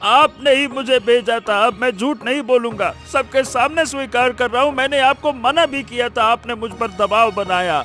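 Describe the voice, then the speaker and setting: High-pitched, stammering cowardly henchman. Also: normal speed, few pauses, bright, forceful tone. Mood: desperate, confessing. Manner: stammering, pleading. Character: frightened, servile henchman of a village strongman. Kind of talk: Hindi cartoon moral-story voice acting. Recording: background music under the voice.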